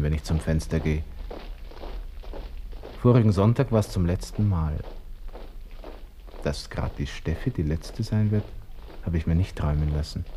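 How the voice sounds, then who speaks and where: A young Austrian lieutenant in an old radio drama, brooding and cynical as he thinks aloud. His Viennese voice is low and mellow, musing with dry irony. A faint hiss of an old recording sits behind him. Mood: wry, resigned. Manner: musing to himself, murmured, wry. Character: melancholy, cynical young Austrian army lieutenant facing death. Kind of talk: 1960s radio play interior monologue.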